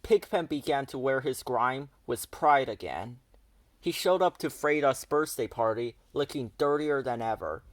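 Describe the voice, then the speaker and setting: Animated man reading a children's storybook. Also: mid-range voice, measured pace, dry recording. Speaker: storybook narrator. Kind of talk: children's read-aloud narration.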